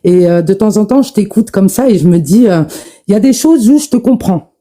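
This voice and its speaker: A woman's voice: mid-range voice, expressive, brisk, mellow. A woman in her forties, out of breath from exercising, arguing insistently over a phone call on a live stream, with repeated restarts. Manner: insistent, repetitive, stumbling restarts. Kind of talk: heated debate monologue.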